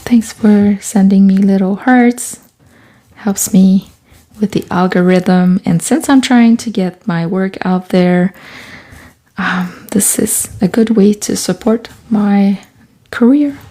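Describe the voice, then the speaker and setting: Soft, slow-talking woman. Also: medium pitch. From Quebec, Canada. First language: French. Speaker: artist and author vlogger. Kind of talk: casual talk while doing collage. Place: small home studio room.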